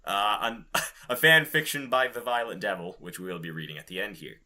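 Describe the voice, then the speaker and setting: A man's voice: on the low side, moderate pace, bright, forceful tone. A man co-hosting a podcast in casual, sweary banter, breaking into laughter often. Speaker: podcast co-host.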